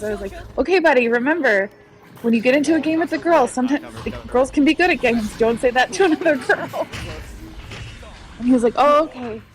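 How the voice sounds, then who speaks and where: A woman's voice: fairly high pitch, low-quality recording. A woman commentator chatting casually and telling stories with light laughs. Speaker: match commentator.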